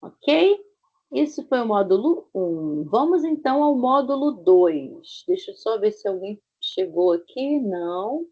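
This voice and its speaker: A woman's voice: medium pitch, sing-song, slow pace, mellow, breathy edge. Carioca woman, online Portuguese teacher, stretches example words, dull call audio. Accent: Rio de Janeiro Portuguese. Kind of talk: online language class. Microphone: video call. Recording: muffled video call audio.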